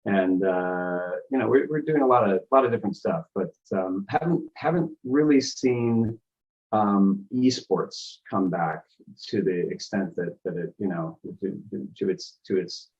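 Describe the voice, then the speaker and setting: A tired man talking as a guest on a video call, halting with many fillers and restarts. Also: low voice, darkish tone, husky. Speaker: guest producing live events. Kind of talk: casual video call chat. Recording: dull lo-fi call audio.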